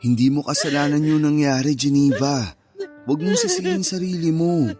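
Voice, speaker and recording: A man playing a gentle, protective grieving husband in a Filipino radio drama, with a soothing, earnest manner. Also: on the high side, very animated, moderate pace, loud music behind the voice. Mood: consoling, self-blaming.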